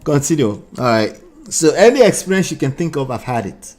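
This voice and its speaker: A man's voice: a little high, sing-song, brisk, a little mellow, soft background music. A man in his forties with a Nigerian accent, reading viewer comments aloud and answering them with blunt rhetorical questions. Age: 40s. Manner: emphatic, repeats points, asks pointed rhetorical questions. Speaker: relationship commentary host.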